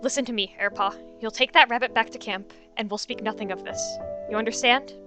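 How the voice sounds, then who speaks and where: A woman voicing an anxious, caring she-cat mentor in a story roleplay, gentle, halting and nervous. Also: a little high, steady tone, music in the background, lightly acted. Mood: hushed, firm.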